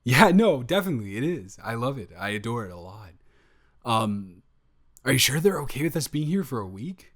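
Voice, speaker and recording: Man as sweet, excitable boyfriend for roleplay audio: medium pitch, playful, gushing, stammering, conversational. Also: moderate pace. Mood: awed, excited. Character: excited, affectionate, golden retriever boyfriend on vacation.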